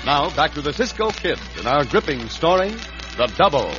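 An American male announcer reads dramatic scripted narration in vintage radio style. His pitch moves a great deal over clearly audible music, and the recording sounds dull and dated. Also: very animated, moderate pace. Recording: muffled vintage radio broadcast.

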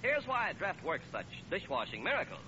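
A male announcer in the style of 1940s radio, delivering scripted copy. His voice is high and very animated. The broadcast recording is muffled and noisy. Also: normal speed.

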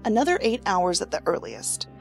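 A woman's voice: medium pitch, huge pitch swings, normal speed, vocal fry, faint music behind. Tired federal agent lady, dry wit, voice moves around a lot, audio drama. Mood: weary, irritated. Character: weary, dry-witted female federal investigative agent.

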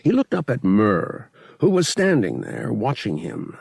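A man narrating a science fiction audiobook as a scripted, expressive dramatic story. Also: mid-range voice, a little slow, gravelly, slightly rough, no room sound, studio quality.

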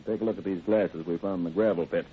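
A plainspoken deputy, played by a man, talks in a lowish, folksy, matter-of-fact way. It is old-time radio crime acting on a thin, tinny recording. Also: steady tone, fast talker, a little hiss or hum, natural voice. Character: plainspoken, folksy sheriff's deputy showing evidence.

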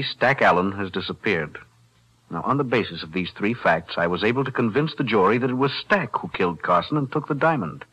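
An actor playing a calm defense attorney, measured and precise, in 1940s radio-drama style. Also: male voice, fairly low pitch, breathy edge, heavily acted, low-quality recording. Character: calm, principled male lawyer.

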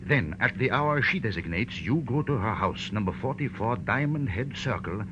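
A man's voice: medium pitch, animated, moderate pace, close to an everyday voice. An actor playing a fussy, anxious, secretive businessman, flustered and insistent, in a 1940s radio drama. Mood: anxious, explanatory. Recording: noisy, muffled old radio recording.

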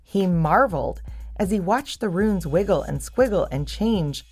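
Female narrator for a kids' audio adventure, lower register, storybook reading over faint music. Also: expressive, measured pace, a little mellow, husky. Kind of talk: scripted children's story narration.

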